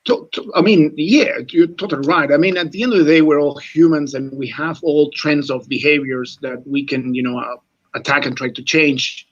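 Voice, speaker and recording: Man in his 40s from Chile, English not his first language: earnest, repeating coach, higher-pitched, lo-fi line. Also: fairly even intonation, normal speed. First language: Spanish. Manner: earnest, repeats words, says mate often. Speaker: rugby scrum coach. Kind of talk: radio interview.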